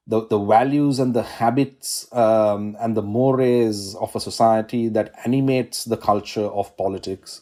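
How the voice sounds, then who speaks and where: A man in his forties from Sri Lanka, a constitutional law academic, giving measured interview answers with many pauses and restarts over a video call. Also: mid-range voice, warm tone.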